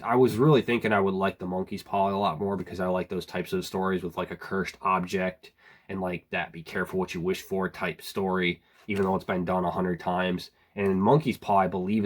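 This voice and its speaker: A man with a lowish, slightly hoarse voice, just getting over a cold. He reviews horror books in a relaxed, rambling way, full of "like" and "um", and clears his throat now and then. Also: brisk. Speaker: book reviewer. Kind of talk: casual book review vlog.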